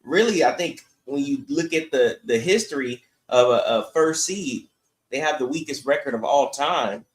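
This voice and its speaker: A man's voice: medium pitch, lively intonation, moderate pace. A man's mid-range voice comes through with a noticeable room echo. He hosts a casual sports podcast and gives his opinions bluntly, in a conversational commentary style. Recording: echoey room sound.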